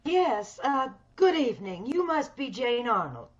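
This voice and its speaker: High-voiced, sinister scheming woman, acted for radio. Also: very animated. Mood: coolly courteous. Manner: measured, coolly polite menace. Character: sinister, hideous woman scheming to steal beauty. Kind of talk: old-time radio horror acting. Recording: dull video-call radio play recording.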